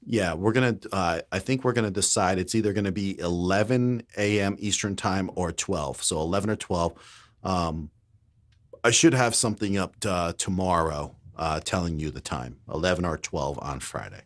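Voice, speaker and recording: A man co-hosting a live stream, explaining gear settings earnestly in a casual, halting way on a pencil condenser mic. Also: deep voice. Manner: earnest, explanatory, hesitant starts. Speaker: co-host of a tech live stream.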